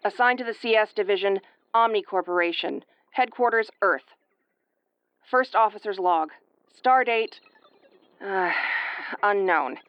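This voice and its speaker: Sci-fi audio drama: woman as injured female first officer, sarcastic and weary, mid-pitched, dry sound. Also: unhurried, pauses often, clean tone, no echo, highs cut off, close to an everyday voice. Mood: weary, wry. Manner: exasperated, swearing, wry tomboyish delivery. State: woozy and battered. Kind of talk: audio roleplay acting, recorded log monologue.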